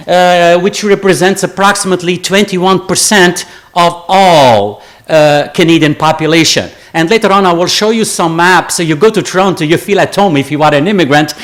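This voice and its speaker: A middle-aged man from the Azores gives a conference talk in a lecture room. He is jet-lagged and tired, yet chatty and digressive, and he throws joking asides to the audience. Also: sits high. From Azores, Portugal. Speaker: conference speaker, geographer.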